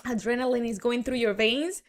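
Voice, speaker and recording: A woman's voice: fairly high pitch, normal speed. A young woman with a Dominican Spanish accent tells her story in a frank, chatty monologue, full of fillers and restarts. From Dominican Republic. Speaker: marketing entrepreneur.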